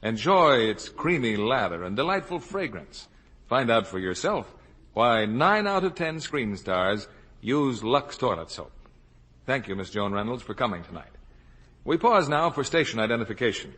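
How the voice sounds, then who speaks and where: Casting: male 1950s radio pitchman and announcer, lively intonation, slightly rough voice. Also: moderate pace.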